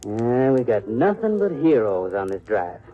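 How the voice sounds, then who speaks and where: A man's voice: mid-range voice, very animated, a little slow, dark tone, rough recording. A man playing a cocky, touchy young cowhand with a sullen, challenging manner in a radio western. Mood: sarcastic. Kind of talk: 1950s radio western acting.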